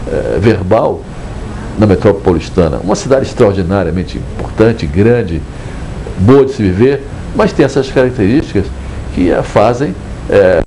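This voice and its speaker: An older Brazilian man, an author speaking reflectively in a measured, digressive way with hesitant restarts. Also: on the low side, mellow, slight background noise, poor audio. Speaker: book author. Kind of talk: reflective interview monologue.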